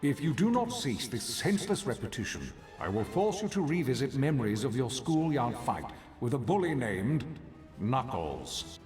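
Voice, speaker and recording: Game mentor male actor: stern, precise, imperious, mid-pitched with very animated intonation over faint music. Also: moderate pace, close to an everyday voice. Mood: irritated, stern. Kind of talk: video game character acting.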